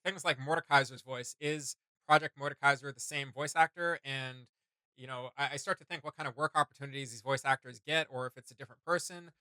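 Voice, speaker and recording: A man's voice: mid-range voice, fairly even intonation, fairly quick, sharp tone, clean tone, no echo. A man chatting casually as a reaction show host, thinking aloud in an enthusiastic, rambling way. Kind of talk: casual chat.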